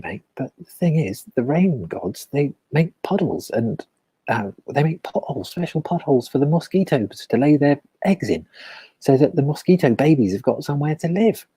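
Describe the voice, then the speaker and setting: British man playing a soothing chief, apologetic, patient, raised voice, husky. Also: a little high, dark tone, dry recording, pitched above the performer's own voice. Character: apologetic, reasonable male village chief.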